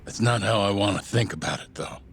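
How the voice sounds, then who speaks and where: A man's voice: fairly low pitch, a little fast, a little hiss or hum, close to an everyday voice. An actor playing a weary older law-office boss in a video game drama, speaking in a stern, blunt, fatherly way. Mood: grieving, weary. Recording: background music under the dialogue.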